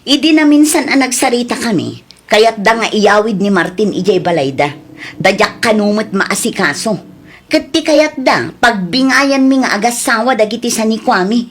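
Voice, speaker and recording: Worried wife, radio drama, fairly high voice, earnest, protesting. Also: female voice, animated, normal speed.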